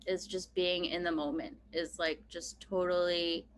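A woman's voice: fairly low pitch, level tone, unhurried. A woman in her thirties from Pennsylvania, a podcast guest talking casually with many "like" fillers and easy laughter. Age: 30s. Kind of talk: casual conversation.

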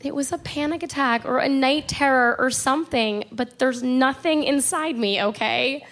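A woman's voice: high voice, moderate pace, fairly bright tone. An actress playing a skeptical, reassuring girlfriend in a horror radio play, soothing but exasperated. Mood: dismissive. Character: dismissive, reassuring girlfriend of a frightened woman. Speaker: actor.

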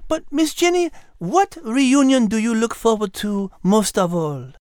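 A woman's voice: a little high, expressive, measured pace, darkish tone, clear, resonant tone, no echo, studio quality. A woman voicing a polite, prim and clever French cat who co-hosts a children's podcast, speaking daintily with a French accent. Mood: warm, courteous. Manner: polite, dainty, hesitant when flustered. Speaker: podcast co-host character.

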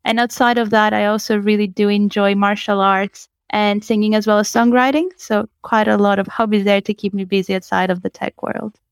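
Young woman guest, Greek and Italian native speaker, smooth clear voice, dry sound, enthusiastic. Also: medium pitch, brisk, hardly any pauses, no room sound. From Greece and Italy. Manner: enthusiastic, animated, occasional laughs and restarts. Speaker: guest, change consultant. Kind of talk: podcast interview.

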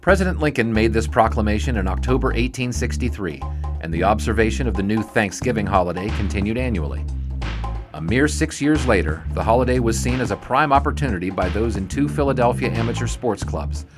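A man reading scripted historical narration in a measured, formal storytelling manner. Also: fairly low pitch, animated. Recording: music under the voice.

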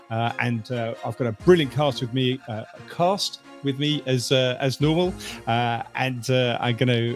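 A man hosting a casual panel podcast, speaking in a halting, hesitant way. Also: medium pitch. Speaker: podcast host.

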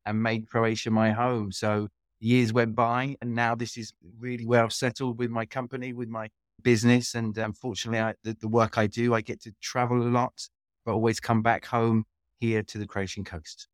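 A man's voice: mid-range voice, flat delivery, normal speed, no room sound, studio quality. A middle-aged man from London with a British accent, chatting as an interview guest and rambling through stories with many restarts. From London, England. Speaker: guest, coach. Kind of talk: casual chat. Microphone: video call.

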